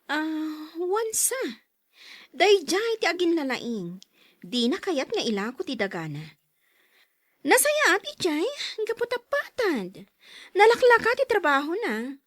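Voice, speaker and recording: A woman's voice: very high voice, big pitch swings, slow, long pauses, dry recording, studio quality, heavily acted. A woman playing a gossipy, conniving schemer in a radio drama. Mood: conniving, animated. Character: gossipy, conniving woman urging a land grab.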